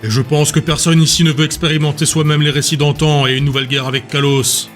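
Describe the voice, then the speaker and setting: A man's voice: flat delivery, fast, close to an everyday voice. A man voicing a stern, authoritative council president with a strong voice and a formal, impatient manner in an audio drama. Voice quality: strong booming voice. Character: stern, commanding, scarred male council president. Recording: music bed behind the voice.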